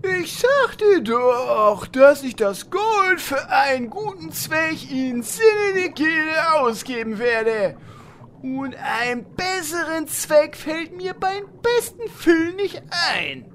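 Woman playing a high-voiced, feisty old fantasy warrior. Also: sing-song, slow pace, fairly bright tone, slight background noise, heavily acted. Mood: grumpy. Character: feisty old tank warrior devoted to pet goat. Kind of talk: comedic fantasy audio drama acting.

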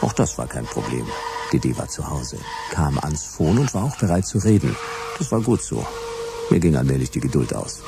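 An actor playing a weary, dry-witted private detective in a hard-boiled radio play, terse and sardonic. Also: male voice, extremely low pitch, very animated, moderate pace, creaky, heavily acted. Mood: wry narrating. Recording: music behind the voice.

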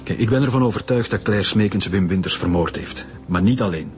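Casting: male actor as Flemish police director, low voice, cautious authority, reasoned radio-drama delivery. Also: brisk, soft background music, close to an everyday voice. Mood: cautious, persuasive.

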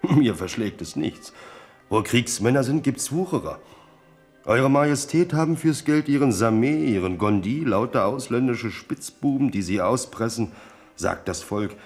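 A man's voice: medium pitch, animated, a little slow, faint music behind. An actor playing a frank, sly soldier courtier who needles his king loyally in a German radio drama. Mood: slyly candid. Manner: frank, sly, feigns indifference.

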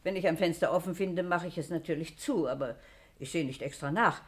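An actress playing a proper, judgmental, self-assured club organiser in a 1960s German radio crime drama. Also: female voice, lowish voice, a little fast, lightly acted. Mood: helpful, chatty. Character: indignant, self-assured respectable club organiser, a married woman.

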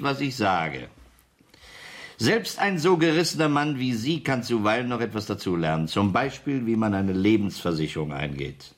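A man playing a threatening gangster in a 1970s German radio crime play. His mid-pitched voice moves in lively, animated swings. He is domineering and mocking, snapping out orders and taunts. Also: lightly acted. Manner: taunting rhetorical questions, curt orders.

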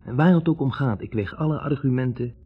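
Wavering young male medical student for radio drama, played by a man: low, husky, hesitant, on a dull old recording. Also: fairly quick, soft background music, close to an everyday voice. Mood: hesitant, reflective. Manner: hesitant, searching for words. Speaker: actor in a radio play. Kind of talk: 1960s Dutch radio drama acting. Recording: dull, band-limited 1960s radio recording.

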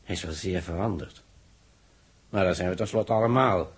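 An actor playing a grave astronomer in a 1960s Dutch radio drama, speaking in a measured, solemn way with dramatic pauses. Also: male voice, deep voice, slightly rough. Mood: solemn, foreboding. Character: grave male astronomer warning of solar catastrophe. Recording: old 1960s radio recording.

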